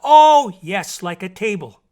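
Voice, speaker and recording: A man voicing a young mermaid from a storybook, cheerful and impatient, puzzled by the land and speaking in halting, emphatic simple phrases. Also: sits high, big pitch swings, warm tone, clear, resonant tone, voice pushed up, full character voice.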